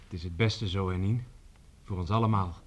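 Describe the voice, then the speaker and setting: A man's voice: low-pitched, steady tone, a little fast, highs cut off. An actor playing a kindly, reserved young village man in a Dutch radio play, understated and reassuring. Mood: resigned. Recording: noisy old radio drama recording.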